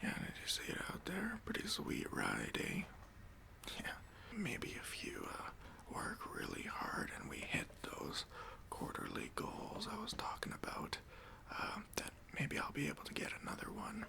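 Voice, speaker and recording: Someone doing a clueless smug boss asmr roleplay, whispery and awkward, pitch wandering all over, crisp bright hiss. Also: huge pitch swings, full character voice. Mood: smug, boastful. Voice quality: breathy whisper with gravelly creak. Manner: condescending, awkward, hesitant pauses and hedging. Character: smug, self-important, clueless corporate boss interviewing an assistant.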